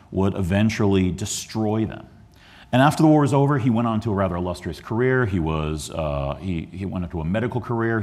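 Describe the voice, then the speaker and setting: Low-voiced man giving a halting history lecture. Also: mellow. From Chicago area, USA. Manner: earnest, with frequent ums and restarts. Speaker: historian giving a book talk. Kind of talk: museum lecture. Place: museum lecture hall.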